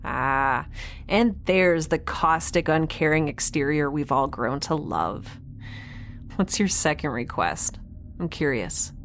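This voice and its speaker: An actress playing a wry young scientist on a space station, casual, breezy and sarcastic, in a science fiction audio drama. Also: female voice, sits low, expressive, slow pace, slight background noise, heavily acted. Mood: dry, sarcastic.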